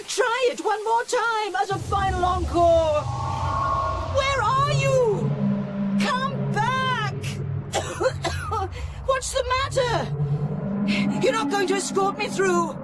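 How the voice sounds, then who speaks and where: A woman's voice: extremely high pitch, sing-song, very slow, long pauses, over loud music, heavily acted. An actress playing an embattled, determined mother with an intense, sardonic manner in a radio drama. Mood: taunting.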